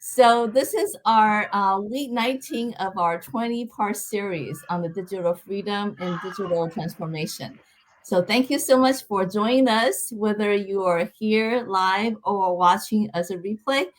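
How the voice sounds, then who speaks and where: A middle-aged woman from Taiwan hosting a live online interview, speaking in measured, scripted-sounding introductions with some fillers. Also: medium pitch, rough recording. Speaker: host.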